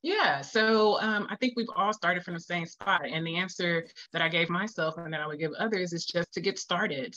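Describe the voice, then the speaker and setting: A woman with a bright, forward voice chats as an author being interviewed. She is candid and acts out her own thoughts as she goes. The audio is somewhat dull and lo-fi. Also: lowish voice. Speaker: guest, children's book author. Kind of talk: interview answers, casual chat.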